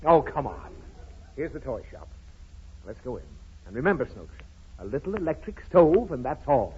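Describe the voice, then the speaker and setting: A man's voice: medium pitch, big pitch swings, normal speed, dark tone, close to an everyday voice. An American man playing an exasperated, blustering father who scolds and protests in an old radio comedy. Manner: scolding, protesting, easily flustered. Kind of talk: 1930s radio comedy acting. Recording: muffled, hissy old 1930s radio recording.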